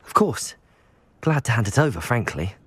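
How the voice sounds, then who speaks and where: A young British student wizard for a fantasy game. His voice is medium in pitch with a husky edge. He is polite, restrained and composed. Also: very animated, normal speed. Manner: courteous, restrained. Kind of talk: video game voice acting.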